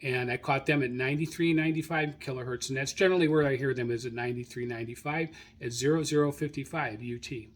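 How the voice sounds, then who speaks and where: A man hosting a radio hobby show, informally reading through his logs in a halting, self-correcting way as he searches his notes. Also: medium pitch, steady tone. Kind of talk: informal spoken log rundown, unscripted.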